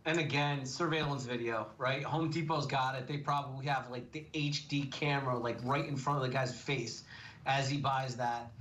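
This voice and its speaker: A man's voice: medium pitch, fairly even intonation, moderate pace, a bit bright, low-quality recording. A middle-aged man, a defense attorney guest on a TV show, speaking earnestly and measuredly. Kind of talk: TV legal commentary.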